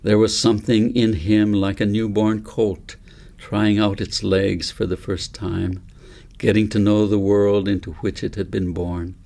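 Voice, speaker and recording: A man reads a story aloud as an audiobook narrator. His voice sits fairly low, with a very gravelly, creaky edge. He phrases things gently and deliberately, in a measured storytelling manner. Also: flat delivery. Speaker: author reading own book aloud.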